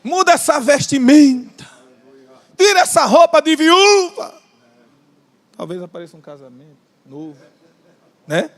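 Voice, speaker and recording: High-voiced man preaching in an echoing hall. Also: big pitch swings, fairly bright tone. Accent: Brazilian Portuguese. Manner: impassioned, drawn-out emphatic phrases, jokes with the congregation. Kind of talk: sermon. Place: large church hall. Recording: long church hall reverb.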